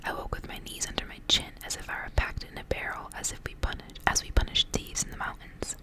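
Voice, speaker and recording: Whispering woman reading a story, ASMR style. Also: quick pace. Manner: hushed, whispering throughout. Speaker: ASMR reader.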